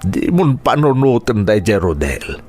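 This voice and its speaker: A man's voice: on the low side, sing-song, measured pace, a little mellow. A man playing a kindly older uncle in a radio drama, speaking in a fatherly, reassuring way.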